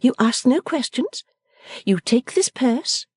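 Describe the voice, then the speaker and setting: A woman's voice: sits high, big pitch swings, takes it slowly, darkish tone, slightly rough, dry recording, clean studio sound, pitched above the performer's own voice, exaggerated voice. A woman reading the part of a playful, affectionate young woman who teases and orders her father about. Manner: teasing, commanding, merry.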